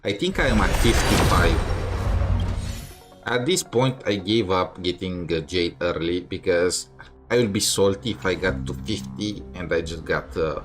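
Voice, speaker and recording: A male game streamer with a lowish voice. He comments casually and haltingly, often pausing to find words, while game music plays clearly behind him. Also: lively intonation.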